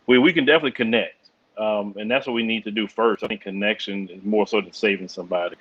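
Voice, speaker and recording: A man's voice: lowish voice, fast talker, fairly bright tone. A man calling into a livestream, polite and hesitant, restarting his sentences. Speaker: caller, fellow channel host. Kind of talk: call-in conversation. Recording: dull lo-fi call audio.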